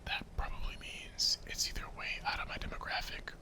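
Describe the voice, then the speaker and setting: A man narrating a visual novel in a whispered ASMR style. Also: a little fast.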